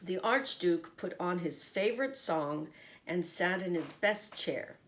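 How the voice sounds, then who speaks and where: Lady teacher reading a kids book, really lively up and down voice, takes it slow. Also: fairly low pitch, a little hiss or hum. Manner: expressive, pauses to comment to young listeners. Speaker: teacher reading aloud to children. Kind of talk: animated picture-book storytelling.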